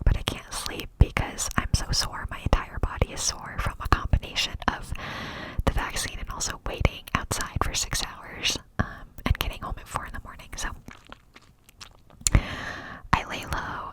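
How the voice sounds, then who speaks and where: A woman's voice: moderate pace, low-quality recording. A young woman telling a personal story in a chatty whisper, with many fillers. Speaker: vlogger.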